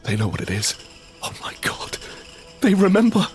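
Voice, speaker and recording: A man's voice: high voice, huge pitch swings, moderate pace. Man acting a tense male apocalypse survivor, music behind. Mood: tense, hushed. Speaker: narrator and lead character in audio drama. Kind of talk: first-person dramatic narration with acted dialogue. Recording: background music under the voice.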